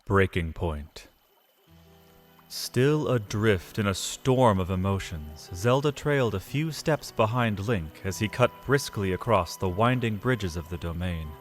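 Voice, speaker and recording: A man narrates a dramatic fantasy story in a low voice. He is solemn and measured, setting out phrases with deliberate pauses. Faint music runs underneath. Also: lively intonation.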